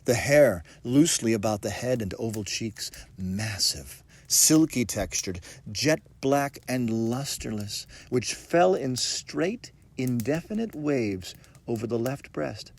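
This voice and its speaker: A man reading a ghost story as dramatic narration, with measured, theatrical phrasing and deliberate pauses. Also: mid-range voice, expressive, creaky, no room sound. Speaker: audiobook reader.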